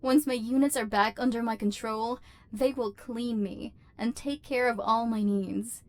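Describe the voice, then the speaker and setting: Woman acting a formal, wounded robot overlord, higher-pitched. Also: steady tone, measured pace, darkish tone, natural voice. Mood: cold, guarded. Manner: formal, precise, stilted diction with wounded feeling. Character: embittered, lonely female robot overlord, secretly tender and lovelorn. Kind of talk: scripted ASMR roleplay acting.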